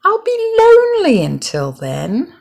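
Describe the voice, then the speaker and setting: A woman voicing an eager, cheerful, insistent male frog, calling and coaxing excitedly in a children's story. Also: very high-pitched, a little slow, gravelly, voice pushed up, exaggerated voice. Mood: pleading.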